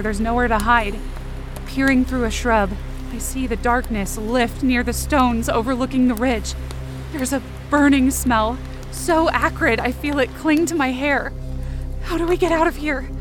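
A woman playing a frightened young girl who narrates an audio fiction horror story in a tense, breathy, emotional way. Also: sits high. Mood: tense, hushed. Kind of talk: first-person dramatic audio fiction narration.

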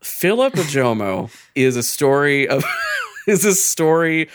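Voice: mid-range voice, very animated, takes it slowly, a little hiss or hum. A podcast co-host chatting casually, with a jokey, sweary, informal storytelling manner. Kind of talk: casual chat, ranking horror game killers.

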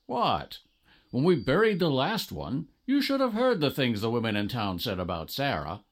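A man acting as a solemn, plain-spoken father of the bride in an audio drama, deliberate and ceremonial. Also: mid-range voice, wildly swooping pitch. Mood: uneasy, furtive.

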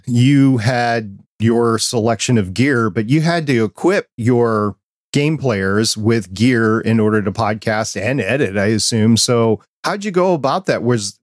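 A man with a quiet voice hosting a podcast, asking curious, friendly questions and chatting about microphones into a dynamic mic. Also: medium pitch, dry recording, studio quality. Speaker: podcast host. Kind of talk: interview questions and chat.

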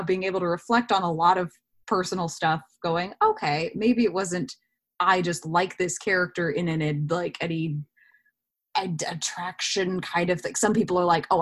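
A woman in her twenties with a slightly low voice speaks as a guest in a casual interview. She is chatty and reflective, working through her thoughts out loud as she goes. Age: 20s. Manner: chatty and self-reflective, thinking aloud.

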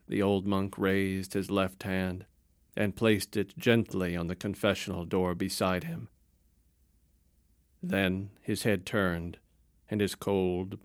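A man narrating a story in a calm, measured storytelling manner. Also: low voice, no echo, studio quality.

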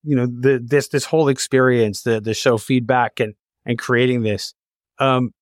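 A man hosting a conversational podcast interview, halting as he searches for words. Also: medium pitch, steady tone, no echo, clean studio sound.

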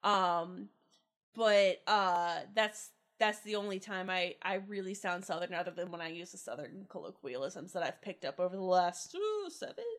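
A woman's voice: fairly low pitch, expressive, moderate pace. A woman with a light Pittsburgh accent chatting casually on a podcast, self-deprecating and full of fillers. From US Northeast-Midwest border. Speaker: podcast cast member. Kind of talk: casual chat. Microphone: home podcast mic.

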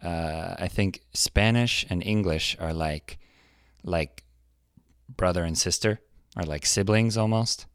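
A man with a very low, deep voice co-hosts a podcast, thinking out loud and often restarting his sentences. The sound is close and dry. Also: slow pace, smooth, no room sound. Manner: hesitant, musing, lots of fillers and restarts. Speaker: podcast co-host. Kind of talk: casual podcast chat.

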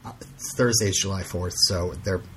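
A man hosting a podcast in casual chat, rambling and halting with many fillers and frequent laughs. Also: low voice, fairly even intonation, noisy background. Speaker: podcast host.

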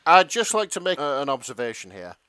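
A man's voice: a little high, big pitch swings, a little fast, sharp tone, clean tone, no echo, studio quality. A man co-hosting a comedy podcast, bantering casually with sweary, mock-serious commentary. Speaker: podcast co-host.

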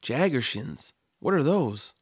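An actor playing an upbeat, eager young adventurer, cheerful and coaxing, in a family audio drama. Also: male voice, mid-range voice, big pitch swings, slow, husky, no room sound, studio quality, heavily acted. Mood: curious.

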